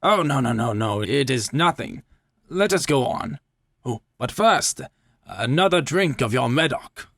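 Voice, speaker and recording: A man performs a trusting, tipsy gentleman from a gothic storybook, doomed and uneasy. The voice sits raised in pitch, with faltering, broken phrases, in a dry, close sound. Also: very animated, measured pace, gravelly, breathy edge, no room sound, full character voice. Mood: eager, dismissive. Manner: stammering, hesitant, broken phrases. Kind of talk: dramatic story reading.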